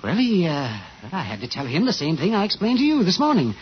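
High-voiced, fussy, flustered office manager. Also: male voice, wildly swooping pitch, a little fast. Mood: nervous, flustered. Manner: fussy, indignant, stammering. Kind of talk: 1950s radio drama acting. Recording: muffled, noisy vintage radio recording.

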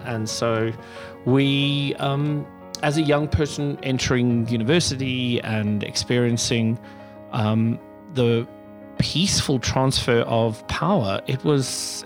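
South African man, thoughtful and halting. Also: medium pitch. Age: middle-aged. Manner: thoughtful, many fillers and restarts. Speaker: executive director of a pride center. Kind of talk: interview answers.

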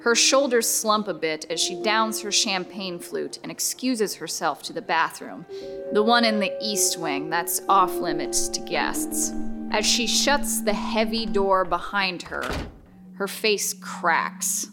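A woman's voice: medium pitch, takes it slowly. Suspenseful woman narrator over music. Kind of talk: scripted horror narration.

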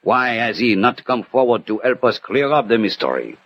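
An actor playing a shrewd, commanding French detective in a theatrical, deliberate 1960s radio drama style. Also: male voice, mid-range voice, very animated, slight background noise. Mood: assured, deductive. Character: shrewd, commanding French gentleman detective in 19th-century Paris. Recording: old muffled radio broadcast with loud music.

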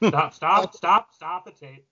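A man's voice: fairly high pitch, takes it slowly, sharp tone, highs cut off. A man co-hosting a casual podcast with dry, wisecracking remarks. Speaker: podcast co-host. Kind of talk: casual banter.

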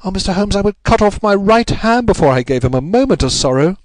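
A man's voice: high-pitched, lively intonation, no room sound, pitched above the performer's own voice. A man voicing a distraught, pleading upper-class married Englishwoman in a story, breathless and melodramatic. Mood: desperate. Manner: breathless, melodramatic, halting repetitions.

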